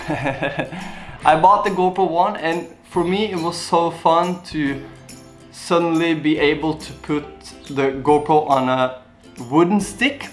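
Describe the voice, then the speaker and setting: Norwegian guy vlogging, chatty q&a, simple earnest phrasing, slightly high voice, faint music behind. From Norway. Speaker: YouTube vlogger. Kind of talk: casual Q&A talk to camera.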